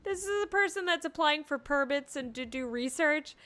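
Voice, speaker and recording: A woman streaming a game with casual live commentary, playful, sarcastic and animated in the way she talks. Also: sits high, normal speed, a little hiss or hum. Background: game music.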